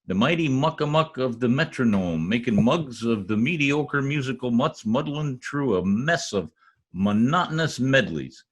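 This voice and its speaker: An actor playing a boastful, wisecracking husband in an old-time radio comedy, folksy and theatrical with a husky voice. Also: male voice, mid-range voice. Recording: home video-call recording.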